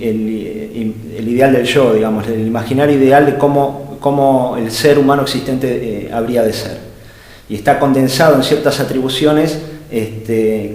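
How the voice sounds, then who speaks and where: A man thinks aloud in an unscripted philosophical talk, pausing and restarting as he hunts for the right words. His voice sits at an ordinary middle pitch. The sound rings through a large reverberant hall. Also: darkish tone. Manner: hesitant, searching for words with many fillers and restarts. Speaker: speaker at an art-gallery think tank. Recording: echoey hall with long reverb.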